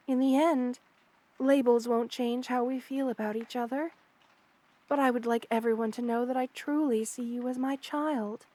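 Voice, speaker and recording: A woman plays a motherly spider queen, a monster woman with a tender, protective way about her. Her voice sits fairly high and sounds close and dry. She speaks soothingly and a little formally, pausing hesitantly, as in an intimate ASMR roleplay. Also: no echo, close to an everyday voice. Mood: hesitant affection. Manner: gentle, soothing, hesitant pauses, formal wording. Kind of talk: ASMR roleplay, intimate one-sided dialogue to the listener.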